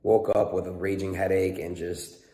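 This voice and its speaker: Deep-voiced young man in an echoey room. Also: level tone, normal speed, mellow. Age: young adult. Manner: earnest, rambling, filler-heavy. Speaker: streamer in a played clip. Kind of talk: confessional vlog talk. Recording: roomy echo with long reverb.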